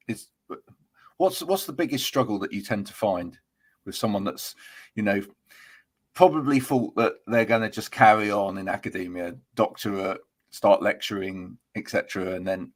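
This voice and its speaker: A man's voice: sits low, slightly rough. A man hosting a casual interview, talking in a chatty, friendly way with frequent restarts. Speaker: host.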